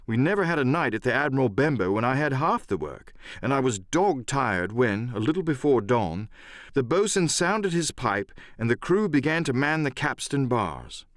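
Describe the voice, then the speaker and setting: A man's voice: mid-range voice, big pitch swings, moderate pace, fairly bright tone, no echo, clean studio sound. A man narrating an adventure audiobook as a scripted dramatic reading with a theatrical storyteller's delivery.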